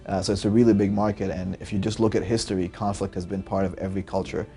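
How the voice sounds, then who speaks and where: A man's voice: sits low, flat delivery, fast talker, dark tone, faint music behind. A young man, a startup founder giving earnest interview answers with frequent fillers. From Lebanon.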